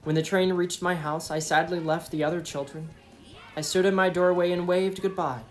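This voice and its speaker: Casting: male storybook reader, measured and dramatic delivery, light music underneath. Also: high voice, steady tone. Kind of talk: expressive scripted children's story narration.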